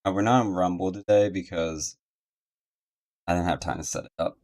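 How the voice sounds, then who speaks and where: A man's voice: deep voice, fairly even intonation, moderate pace, darkish tone. A man giving casual live-stream commentary as he plays a video game.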